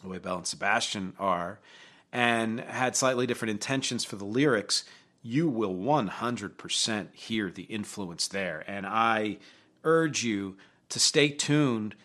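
A man's voice: fairly low pitch, unhurried, a bit bright. A man hosting a music show, talking through songs in a reflective, conversational way and putting questions to his viewers. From not from Glasgow. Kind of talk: casual music commentary to camera.

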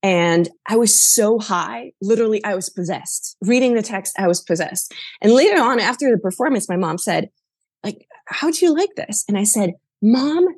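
Husky Slovenian-accented woman in interview. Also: medium pitch, lively intonation, normal speed, a little mellow, no echo. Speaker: interview guest, actor and playwright. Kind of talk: conversational interview.

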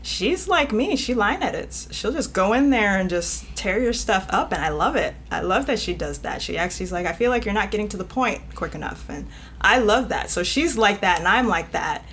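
A woman guest, an editor, talking casually in an interview, animated and often laughing, with many "you know" fillers. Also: medium pitch, moderate pace, a little hiss or hum. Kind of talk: conversational interview.

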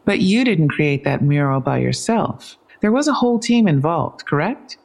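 Lady police detective, lowish lively voice, sarcastic and pushy, audio drama interrogation style. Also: moderate pace, vocal fry, exaggerated voice. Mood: insistent. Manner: pointed, pressing questioning. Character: persistent, sardonic female police detective.

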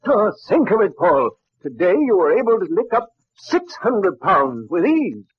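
An actor playing an anxious, protective elderly professor father in a radio drama, excitable and fretful. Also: sits high, a little slow, husky, exaggerated voice. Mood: amazed. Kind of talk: 1940s radio acting. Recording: muffled, dry old radio recording.

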